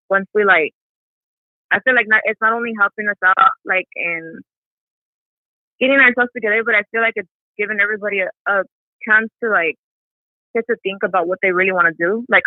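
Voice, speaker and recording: A woman's voice: lowish voice, dry recording. A young woman chatting casually as an interview guest over a phone call, peppering her talk with "like" and "you know.". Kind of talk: casual chat. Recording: tinny phone line, dry.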